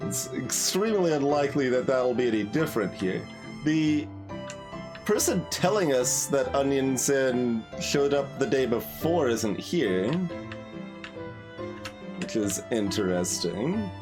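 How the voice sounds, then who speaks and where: A man's voice: medium pitch, lively intonation, a little slow, over music. A man giving casual commentary on a game playthrough, musing and thinking aloud as he goes.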